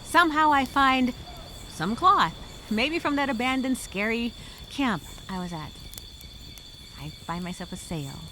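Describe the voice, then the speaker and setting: A woman's voice: fairly high pitch, animated, measured pace, voice pushed up. A woman playing a weary, sarcastically hopeful castaway who muses aloud in a dramatic journal monologue. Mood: sarcastic daydreaming. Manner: wry, musing, sarcastic. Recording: noisy, insects and crickets chirping behind.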